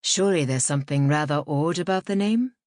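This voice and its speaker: Audiobook gentleman, acted by a woman: languid, wry, provocative charm, pitched low, very dry sound. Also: brisk, no echo, lightly acted. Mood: teasing. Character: teasing, charming, educated man, wry and languid. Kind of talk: audiobook character voice.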